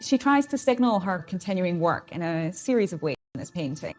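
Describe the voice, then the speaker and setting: A woman's voice: lowish voice, animated, fairly quick, faint music behind. A woman giving explanatory commentary as an interviewee in a history documentary.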